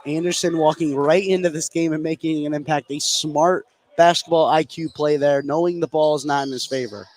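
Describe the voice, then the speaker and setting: A man's voice: fairly high pitch, fairly even intonation, brisk, few pauses, darkish tone. A man giving live basketball analysis as a color commentator in a conversational, explanatory way. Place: indoor gym during a live game. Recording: faint gym noise behind.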